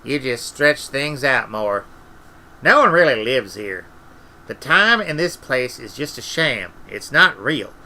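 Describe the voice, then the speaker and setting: A man playing a young man turning cold and deathly in an audiobook, speaking in a terse, deliberate, menacing way. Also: mid-range voice, sing-song, pushed and bright, heavily acted, low-quality recording. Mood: bleak, insistent.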